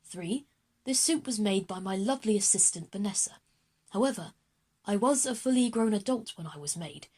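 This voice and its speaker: A woman playing a proud, formal grown man in a children's audio drama, speaking in a courteous, measured way. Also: medium pitch, expressive, a little mellow, slightly rough. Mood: proud, composed.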